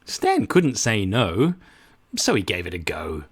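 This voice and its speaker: A man reading a rhyming children's story aloud in an expressive, rhythmic, dramatic way. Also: lowish voice, takes it slowly, slightly rough.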